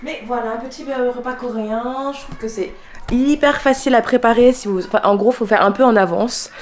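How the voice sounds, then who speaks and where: A woman's voice: fairly high pitch, steady tone, a little fast, a little hiss or hum. A woman in her thirties from France, chatting casually into her camera as a vlogger and chef, with lots of fillers and laughs. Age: 30s. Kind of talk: casual vlog chat.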